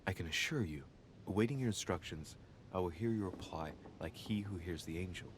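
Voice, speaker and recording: An actor playing a brooding young man in a video game, investigating clues and deliberately musing aloud to himself. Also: lightly acted. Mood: grave, pondering. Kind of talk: dramatic video game acting, reading aloud. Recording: low rumbling background noise.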